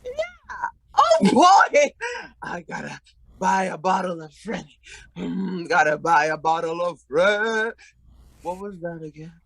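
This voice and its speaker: An actor playing a sloppy, fawning drunk husband in a comic old-time radio play, with slurred, grandiose speech. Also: male voice, sits high, big pitch swings, slow, gravelly, long pauses, fairly bright tone, dry recording, full character voice, low-quality recording. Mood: sloppy, elated.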